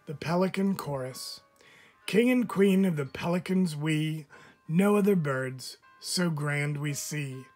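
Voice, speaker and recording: Slow-paced man reading children's poems aloud. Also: on the high side, darkish tone, soft background music.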